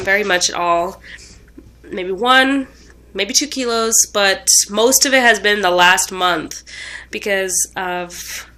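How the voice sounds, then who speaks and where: A woman's voice: medium pitch, pushed and bright. A young woman with a stuffed-up voice from a cold, rambling through a casual vlog update with lots of ums and self-corrections. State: tired. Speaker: vlogger.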